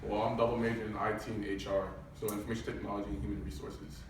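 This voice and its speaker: A man's voice: fairly low pitch, level tone, fast, background noise. A young man from Ghana in a casual group chat, teasing and joking. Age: young adult. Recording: very echoey room, distant mic, crowd chatter.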